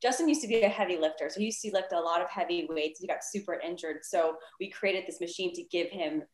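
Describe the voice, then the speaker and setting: A woman with a low voice chimes in alongside her business partner, adding short asides. She sounds roomy and echoey, with faint noise in the background. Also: fast talker. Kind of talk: interview answers.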